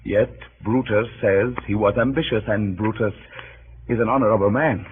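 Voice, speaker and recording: An actor playing a grieving, cunning Roman orator rousing a mob in declamatory, deliberate 1930s radio Shakespeare style. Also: male voice, on the low side, steady tone, close to an everyday voice. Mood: grieving, ironic. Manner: declamatory, deliberate, swinging from hushed to impassioned. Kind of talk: 1930s radio Shakespeare acting, funeral oration. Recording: scratchy, tinny 1930s radio broadcast.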